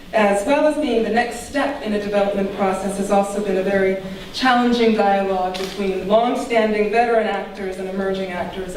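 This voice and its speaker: A woman's voice: medium pitch, a little hiss or hum. Lady playwright doing a formal stage intro, big echo, distant mic. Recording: very echoey hall, distant mic.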